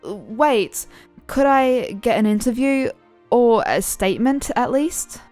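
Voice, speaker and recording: A woman voicing an excitable, determined young female reporter in a fan fiction audio story, with an eager, animated delivery. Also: on the high side, slow pace, soft background music.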